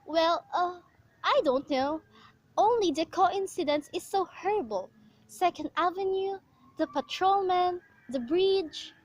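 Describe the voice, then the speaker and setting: A very high-pitched female voice from an amateur actress plays a bedridden wife in a radio drama. She is anxious and self-pitying, pressing her demands in a fretful, imperious way. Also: lively intonation, measured pace. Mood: urgent, demanding. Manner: fretful, insistent, pleading. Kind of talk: amateur radio play acting.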